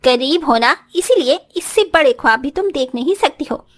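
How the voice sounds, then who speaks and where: An actress plays a spoiled young career woman in a Hindi moral cartoon. Her voice sits very high and swings sharply up and down, full of scorn and money pride, with English phrases thrown in. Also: expressive, moderate pace. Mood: haughty. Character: haughty, spoiled young working daughter-in-law who flaunts money. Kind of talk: moral story cartoon acting.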